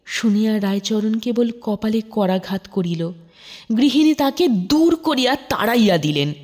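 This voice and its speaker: A woman narrating a literary story in an expressive, measured, dramatic audiobook style. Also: fairly high pitch.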